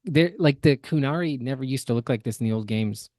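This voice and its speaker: A man's voice: mid-range voice, fairly quick, a little mellow, no echo, studio quality. A man hosting a podcast with casual, critical and opinionated reaction commentary. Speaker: podcast host.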